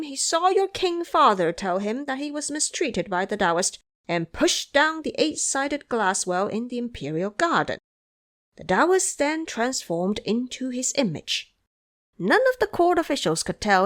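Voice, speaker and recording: A woman voicing a cocky, quick-witted male monkey disciple in an audio drama, brash and persuasive. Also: on the high side, a bit bright, pitched above the performer's own voice. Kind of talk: audio drama character acting.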